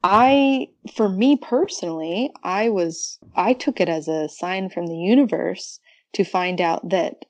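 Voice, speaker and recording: やや低めの声の女性ゲスト. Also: female voice, on the low side, animated, measured pace, a little mellow. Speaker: podcast guest, researcher. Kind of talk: casual explanatory discussion.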